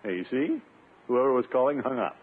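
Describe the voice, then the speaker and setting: A man's voice: mid-range voice, sing-song, brisk, lightly acted. A man playing a pompous, blustering middle-aged uncle in 1950s radio comedy style, indignant and self-important. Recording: tinny, noisy 1950s radio recording.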